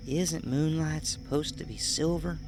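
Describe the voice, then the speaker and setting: A man voicing an anxious but determined preteen hillbilly girl in an audio horror story, with deliberate, haunted delivery. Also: a little high, steady tone, darkish tone, soft background music, voice pushed up, close to an everyday voice. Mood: uneasy.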